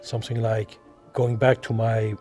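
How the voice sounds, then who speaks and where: A man from France with a French accent, answering interview questions as an artist, halting and repeating himself as he searches for words. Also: fairly low pitch, level tone, dark tone, soft background music. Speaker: visual artist being interviewed.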